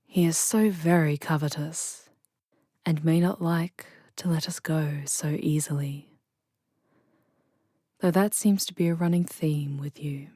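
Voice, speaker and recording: A woman playing a charming, protective female demon guide in an intimate ASMR roleplay, with soft breathy delivery and formal, measured diction. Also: deep voice, fairly even intonation, no room sound, studio quality. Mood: hushed, teasing. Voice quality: soft, breathy, often whispery.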